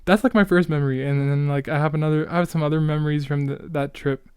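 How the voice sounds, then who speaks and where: A man's voice: a little high, fairly even intonation, fast, darkish tone, clean tone, no echo, studio quality. A young man, chatting casually and in a rambling way as he hosts a solo podcast. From Canada. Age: late teens, young adult. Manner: rambling, self-interrupting, youthful slang. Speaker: podcast host. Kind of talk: casual solo chat, reminiscing.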